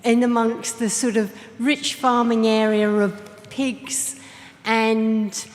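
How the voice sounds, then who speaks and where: A woman's voice: a little high, little pitch movement, slow. A woman with an English accent introducing herself at a panel event as a project manager. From England. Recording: hall reverb.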